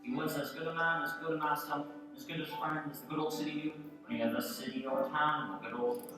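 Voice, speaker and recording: A man playing the storyteller, narrating a radio play in a warm, measured scripted style. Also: a little high, fairly even intonation, lightly acted. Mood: solemn, fond. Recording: echoey stage, distant mic, music behind.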